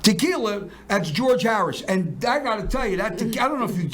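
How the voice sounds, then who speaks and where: Rambling high-voiced showman host. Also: animated, a little fast, a bit bright. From South Philadelphia. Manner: boastful, rambling, jokey showman. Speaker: talk show host. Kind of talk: casual promotional chat.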